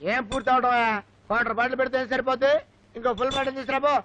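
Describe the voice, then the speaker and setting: High-voiced belligerent drunk man. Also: flat delivery, rapid-fire, sharp tone, slight background noise. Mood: demanding, drunken. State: acted drunkenness. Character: belligerent drunken man demanding more liquor. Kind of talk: Telugu comedy film acting. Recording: dull film soundtrack, faint music.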